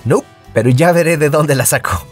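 A man playing an excitable, scheming, upbeat teenage hero student in a comic fandub, eager and wheedling. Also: a little high, moderate pace, over music, natural voice. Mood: confident.

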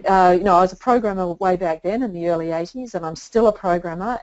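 Older NZ woman, lower voice, friendly webinar guest, dull call sound. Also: darkish tone. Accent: New Zealand. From New Zealand. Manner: chatty, self-mocking. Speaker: guest expert. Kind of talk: webinar talk. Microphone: video call.

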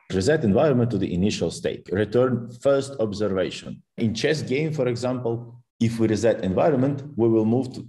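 A man's voice: lowish voice, warm tone. A man teaching a programming course, walking through code step by step with frequent "uh" fillers and slightly phrasing. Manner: explanatory, with frequent fillers and non-native phrasing. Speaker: course instructor. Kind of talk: screen-share code walkthrough.

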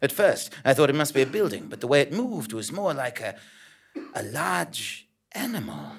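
A man playing an earnest, pompous investigative journalist, narrating his adventure theatrically with wordy, dramatic pauses on a small stage. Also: mid-range voice, sing-song. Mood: awed. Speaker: narrator in a comedy audio drama.

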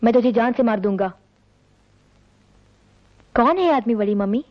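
A woman's voice: a little high, animated, moderate pace, slight background noise, lightly acted. An actress playing a distressed, insistent woman in Hindi film melodrama, pleading and emphatic. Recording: dull lo-fi film soundtrack, music, background voices.

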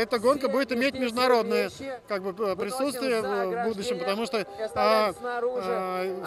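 A middle-aged man, a race organizer, interviewed outdoors at a ski race, talking hesitantly with many pauses. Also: high voice. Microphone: handheld reporter mic.

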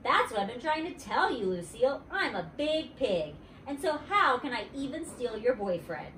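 A woman voicing a bold, chatty little girl with a high, wheedling, bouncy child voice. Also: very animated, moderate pace, a bit bright. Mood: matter-of-fact. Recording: roomy, distant mic, faint noise.

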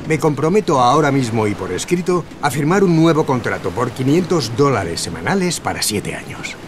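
An actor playing a bossy, patronizing Hollywood studio boss in an audio drama, businesslike and persuasive. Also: male voice, mid-range voice, noisy background, close to an everyday voice. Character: blunt, bossy Hollywood studio mogul man. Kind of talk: scripted audio drama acting.

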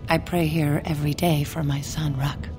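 A woman's voice: low-pitched, flat delivery, normal speed, natural voice. A woman voicing a grieving dwarf widow. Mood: mournful. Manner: mournful, solemn. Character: grieving older dwarf widow praying for lost son. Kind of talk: video game voice acting. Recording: game audio with music underneath.

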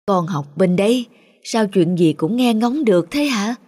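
Weary, gentle cartoon father. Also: high-pitched, expressive, unhurried. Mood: weary, soothing. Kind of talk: cartoon drama acting.

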